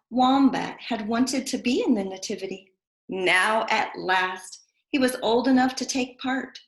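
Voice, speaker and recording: A woman reads a children's story expressively and slowly. Her fairly high voice moves up and down a great deal, and the room is noticeably echoey. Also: a bit bright. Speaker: preschool storyteller. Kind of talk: children's story read-aloud.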